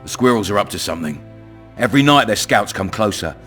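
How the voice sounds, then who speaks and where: An actor with a British accent playing a crude, cunning, menacing town commandant in a video game. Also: male voice, fairly low pitch, lively intonation, quick pace, a bit bright, faint music behind. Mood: calculating. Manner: domineering, coarse, swaggering. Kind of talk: video game dramatic dialogue.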